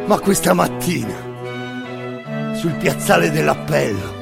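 A man with a gravelly voice performing an intense, incantatory scripted monologue in the second person, repeating phrases between long pauses. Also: on the high side, big pitch swings. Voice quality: gravelly, creaky. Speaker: actor and storyteller of a solo radio theatre monologue. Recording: music bed under the voice. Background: music.

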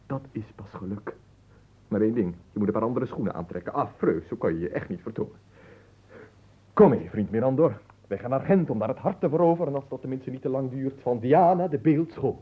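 A man's voice: mid-range voice, animated, brisk, warm tone, slightly rough. An actor playing a bored, spoiled young nobleman in a radio drama, melodramatic, languid and pompous. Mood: dreamy, grandiose. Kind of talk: theatrical radio drama acting.

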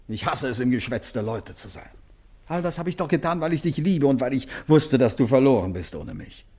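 A man's voice: mid-range voice, animated, a little fast, dark tone, husky. Earnest, articulate Englishman of radio drama. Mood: reproachful, pained. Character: earnest Englishman recounting and reliving a troubled love affair. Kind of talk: German radio play acting.

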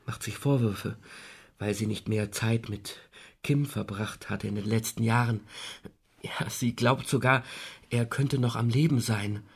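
An actor playing an earnest, troubled man under suspicion in a radio drama, with a husky voice and insistent delivery. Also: fairly low pitch, fairly even intonation, a little slow.

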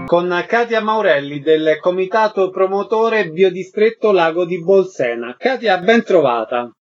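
A man's voice: sits high, lively intonation, measured pace, soft background music. A man hosting a radio interview, putting short questions to guests and reading introductions and sign-offs. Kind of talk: radio interview with scripted links. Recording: slightly dull, lo-fi radio sound.

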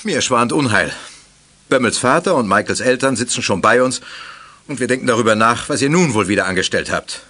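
A man's voice: medium pitch, animated, fairly quick, bright, forceful tone. An actor playing a middle-aged, indulgent but wryly stern father in a German children's audio drama. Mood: foreboding.

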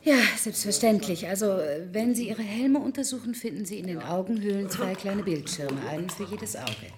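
An actress playing an ambitious computer scientist in a German radio drama, formally and theatrically presenting her invention, at times hushed and coaxing. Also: medium pitch, moderate pace, a crowd in the background, lightly acted. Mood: assured, instructive.

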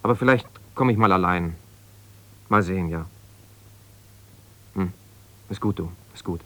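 An actor playing an ambitious, self-justifying lawyer in a German radio drama, speaking haltingly and conversationally as if on the phone. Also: male voice, low voice, bright, forceful tone. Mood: resigned. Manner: conversational, halting, with repeated phrases and pauses.

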